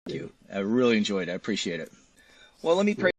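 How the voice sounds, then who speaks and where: Male interview guest, novelist type. Slightly low, husky voice with plain conversational delivery. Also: big pitch swings, quick pace, dry recording.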